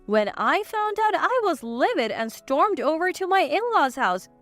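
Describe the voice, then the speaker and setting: Female story narrator, high voice, swooping delivery, close dry sound. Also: unhurried, no room sound, faint music behind. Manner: animated, dramatic delivery. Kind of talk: scripted first-person drama narration.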